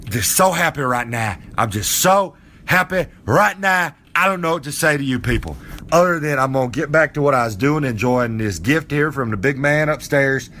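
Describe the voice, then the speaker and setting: A man's voice: medium pitch, moderate pace, fairly bright tone, a little hiss or hum, natural voice. A man voicing a boastful, folksy old male wrestler character with a drawl who rambles and preaches. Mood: overjoyed. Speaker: podcast character segment.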